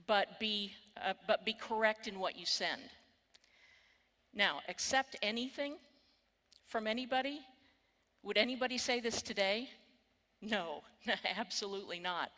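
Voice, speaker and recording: A woman's voice: mid-range voice, sharp tone. An older woman with a Texan accent giving a conference keynote, wry and candid, with casual swearing. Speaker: keynote speaker. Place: conference hall on stage. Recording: long reverb of a large hall.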